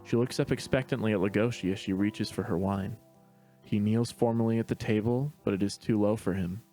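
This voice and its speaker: Low-voiced man reading stage directions. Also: fairly even intonation, brisk, warm tone, faint music behind. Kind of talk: plain read-aloud narration.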